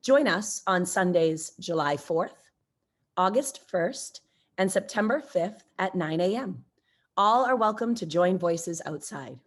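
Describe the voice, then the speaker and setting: A woman's voice: fairly low pitch, expressive, slow pace. A woman reading scripted church announcements.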